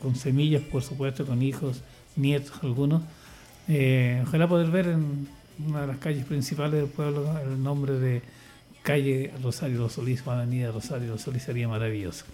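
A man's voice: medium pitch, fairly even intonation, normal speed, mellow, soft background music. An older man speaking in casual radio chat, hesitant and rambling, with many restarts and fillers. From Chile. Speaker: radio guest, church choir leader.

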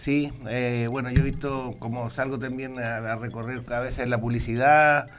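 A man's voice: mid-range voice, fairly even intonation, normal speed, a little hiss or hum. A Chilean man speaking as a guest in a casual radio interview, friendly, often repeating himself as he searches for words. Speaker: circus spokesman, radio guest. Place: radio studio.

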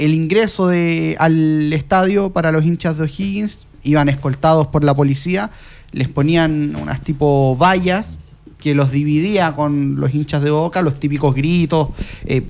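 A man with a Chilean accent giving a conversational football report in measured, somewhat hesitant phrases. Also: fairly high pitch, darkish tone, clear, resonant tone.

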